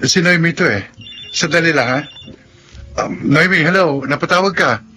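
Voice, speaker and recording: A man's voice: fairly high pitch, moderate pace, sharp tone, soft background music. A man with a Filipino accent playing a worried, grateful older brother and restaurant worker in a radio drama, earnest and anxious in manner.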